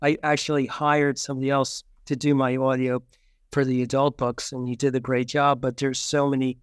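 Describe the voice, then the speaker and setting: A man speaks as an interview guest in a conversational way, circling back and repeating himself as he thinks. His pitch sits in the middle for a man, and the sound is dry with very little room. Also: little pitch movement, clear, resonant tone, no echo. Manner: halting, repetitive, thinking aloud. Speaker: guest, children's book author.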